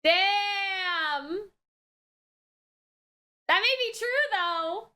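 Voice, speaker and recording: Very high pitch, bright forceful tone, woman, reaction host, expressive, slangy, casual commentary. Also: extremely slow. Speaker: host of an anime reaction channel.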